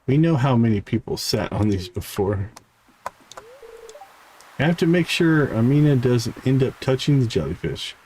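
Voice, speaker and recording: A man's voice: mid-range voice, expressive, moderate pace, long pauses, a little mellow, a little hiss or hum, low-quality recording. A man livestreaming a game, reading character dialogue aloud with stumbles and short asides. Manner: reads on-screen text aloud with stumbles and asides. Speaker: game streamer.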